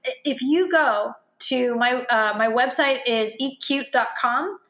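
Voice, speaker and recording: A woman's voice: fairly high pitch, measured pace, a bit bright. Chatty woman in a roomy echo. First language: Italian. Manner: chatty, self-deprecating, lots of fillers. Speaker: guest, health coach. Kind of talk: casual podcast chat.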